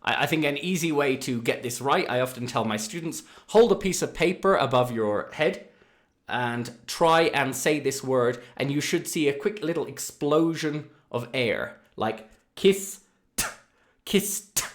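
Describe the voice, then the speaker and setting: A man in his thirties, a regular guest and English teacher, talking in a relaxed way and letting his phrases trail off quietly, on a video call. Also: medium pitch, a little slow. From Ireland. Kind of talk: casual teaching chat. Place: home room, indoors. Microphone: studio mic on a video call.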